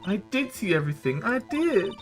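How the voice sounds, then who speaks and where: A streamer putting on a high, coy girlish witness. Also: very animated, faint music behind, natural voice. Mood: insistent. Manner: cutesy, wheedling, drawn-out protests. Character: coy, girlish young female witness, sugary and evasive.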